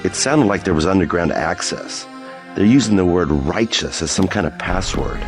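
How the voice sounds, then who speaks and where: A man's voice: low voice, lively intonation, normal speed, music in the background. A man playing a helpful street informant in a video game, casual and matter-of-fact. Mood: confiding. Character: helpful, low-key male street informant.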